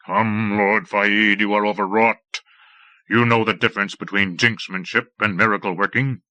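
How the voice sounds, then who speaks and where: A man voicing a calm, cold male wizard who explains things in a measured, lecturing way. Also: fairly low pitch, dry recording.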